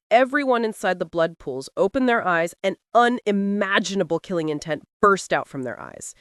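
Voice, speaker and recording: Female audiobook narrator for epic fantasy, lively intonation, dramatic delivery, dry studio-style sound. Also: mid-range voice, dry recording.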